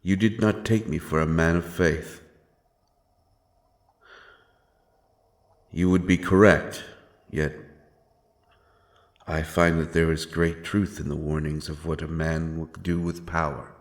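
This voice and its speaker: A grim, doomed dark fantasy avenger, acted by a man with a very low voice. He speaks slowly and solemnly, brooding over his words and leaving heavy pauses, as in a dramatic audio roleplay. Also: fairly even intonation. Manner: brooding, solemn, halting delivery with weighty pauses.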